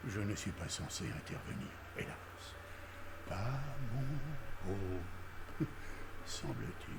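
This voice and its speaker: An actor playing a suave, cryptic older male curator of stories in a French game dub, speaking slowly and theatrically. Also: lowish voice, gravelly, noisy background, full character voice. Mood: wry. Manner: slow, measured, theatrical.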